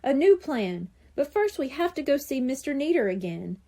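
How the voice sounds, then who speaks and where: A woman's voice: high-pitched, sing-song, normal speed, warm tone, pitched above the performer's own voice. A woman voicing an eager, earnest, kind-hearted young boy in a children's storybook. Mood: excited.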